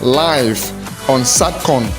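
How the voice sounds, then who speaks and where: A man's voice: medium pitch, very slow. A man reading a scripted television promo as an announcer. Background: music bed.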